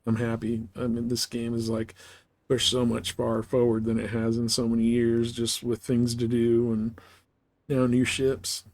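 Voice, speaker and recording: A man hosting a live game stream, chatting casually and haltingly with viewers as he thinks aloud in an easygoing way. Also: mid-range voice, monotone, darkish tone, dry recording. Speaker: game livestream host. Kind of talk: casual livestream chat while gaming, answering viewers.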